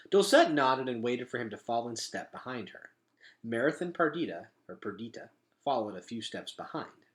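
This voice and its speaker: A man reading a children's story aloud as a teacher, with expressive, dramatic emphasis. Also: medium pitch, moderate pace.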